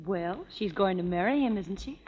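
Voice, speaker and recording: An actress playing a soft-spoken, wry young woman in a 1930s radio drama, on a dull lo-fi old recording. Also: medium pitch, expressive, moderate pace, a little hiss or hum, close to an everyday voice. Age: young adult. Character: wry, resigned older sister. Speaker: sister Emma.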